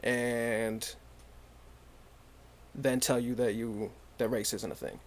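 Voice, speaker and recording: A man in his twenties from Maine, a rapper chatting as a podcast guest in a relaxed, easygoing way. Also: medium pitch. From Maine, USA. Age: 20s. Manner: relaxed, easygoing, quick affirmations. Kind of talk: casual interview chat.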